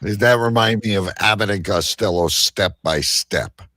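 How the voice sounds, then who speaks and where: A man's voice: on the low side, normal speed, bright, forceful tone, no room sound, studio quality. A man hosting a live show with casual banter.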